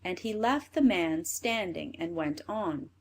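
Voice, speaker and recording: A woman reading a fairy tale for children as an expressive storyteller. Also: lowish voice, a little slow. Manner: expressive read-aloud delivery.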